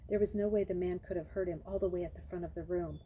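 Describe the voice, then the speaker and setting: A woman's voice: fairly low pitch, steady tone, fast, dark tone, a little hiss or hum. A woman reading a children's novel aloud, narrating expressively and dramatizing the story.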